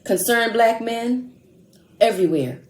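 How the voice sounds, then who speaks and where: A woman's voice: fairly high pitch, a little slow, darkish tone. A woman hosting an online commentary, speaking in an emphatic, challenging way with rhetorical questions and repetition. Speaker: host of an online commentary show. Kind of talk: opinion commentary with read-aloud article excerpts. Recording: echoey room sound.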